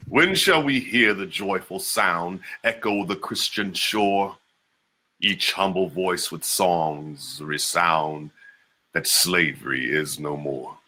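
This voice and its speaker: Slow, solemn man reading aloud. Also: deep voice, bright, forceful tone, husky. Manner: slow, measured, solemn recitation. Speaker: reader of historical texts. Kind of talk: dramatic reading of an 18th-century address and poem.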